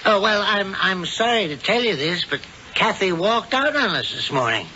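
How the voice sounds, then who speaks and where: A man's voice: sits high, sing-song, moderate pace, bright, forceful tone, a little hiss or hum, full character voice. An actor playing a genteel, sinister old male witch in a 1970s radio drama, mild and fussily polite. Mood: feigned politeness. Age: older. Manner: mild, fussy, politely evasive. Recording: muffled old radio broadcast, music behind.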